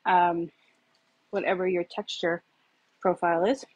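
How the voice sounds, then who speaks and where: Thirties woman vlogging in her kitchen, slightly breathy, loose and chatty with fillers, phone on the counter. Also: fairly low pitch, flat delivery, unhurried, a little mellow, dull audio. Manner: chatty, rambling, many fillers. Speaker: home cook vlogger. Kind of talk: casual cooking demo. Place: home kitchen, indoors. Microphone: phone camera on the counter.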